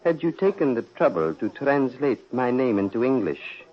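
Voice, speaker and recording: An actor playing the Devil as a suave, sinister prince, unhurried and mockingly polite, in the manner of 1940s radio mystery. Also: male voice, medium pitch, sing-song. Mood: coolly menacing. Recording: dull old-time radio recording.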